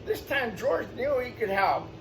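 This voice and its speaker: A man's voice: very high voice, lively intonation, a little slow. A man hosting storytime, reading a children's picture book aloud with exclamations and explanatory asides for young listeners. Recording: distant mic, faint background noise.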